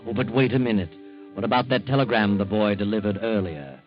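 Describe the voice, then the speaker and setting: Deep actor doing a sinister all-knowing 1940s radio mystery narrator guy, sardonic, dull old recording. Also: brisk, close to an everyday voice. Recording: muffled 1940s radio recording, faint music.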